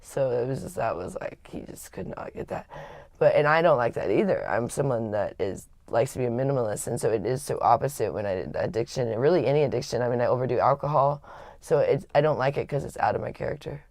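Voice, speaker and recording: A woman with a raspy voice, hosting a livestream and telling a personal story in a candid, rambling way with frequent restarts. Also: very deep voice, lively intonation, quick pace, a little mellow, no room sound, studio quality. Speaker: livestream host.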